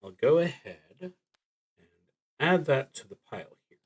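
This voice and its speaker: A man thinking aloud as he plays a solo tabletop roleplaying game on a stream, talking in a deliberate, halting way with dry asides. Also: fairly high pitch, very animated, a little hiss or hum. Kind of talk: live play narration, thinking aloud.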